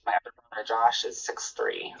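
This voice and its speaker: A woman's voice: extremely low pitch, wildly swooping pitch, moderate pace, gravelly, husky, far from the mic, dull audio. A woman from Minnesota with a Minnesotan accent chatting casually, repeating and stammering as she talks. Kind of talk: casual chat.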